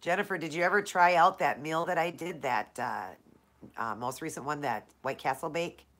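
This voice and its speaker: A woman's voice: sits low, normal speed, a bit bright. A woman from Minnesota hosting a casual live Q&A, chatting with viewers by name, her nose stuffed up from allergies. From Minnesota, USA. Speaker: live-stream host.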